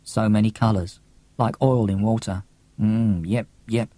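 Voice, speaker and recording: A man's voice: on the low side, steady tone, unhurried, mellow, no echo, pitched below the performer's own voice, natural voice. A man voicing a brooding, wounded young loner in an audiobook, muttering curtly and defensively. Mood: absorbed muttering. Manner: muttering, curt, rough.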